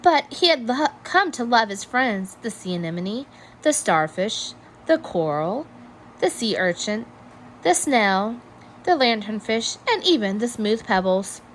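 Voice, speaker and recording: A woman reading a children's story aloud in an expressive, measured storytelling manner. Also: on the high side, a little hiss or hum, poor audio. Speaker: storybook reader. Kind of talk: children's read-aloud narration.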